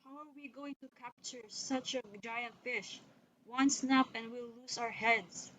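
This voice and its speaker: A woman's voice: a little high, steady tone, a little slow, a bit bright. A woman voicing an urgent, exclamatory island villager rallying warriors in a dramatic folktale. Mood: worried. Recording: muffled, very noisy recording.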